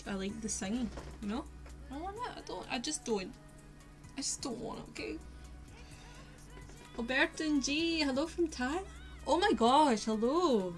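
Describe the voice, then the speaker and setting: A young woman with a posh Glaswegian Scottish accent, chatting casually with her live-stream viewers while she paints, rambling and poking fun at herself. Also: on the high side. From Scotland. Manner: chatty, self-mocking, rambling asides to chat. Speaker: art streamer. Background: faint lo-fi music.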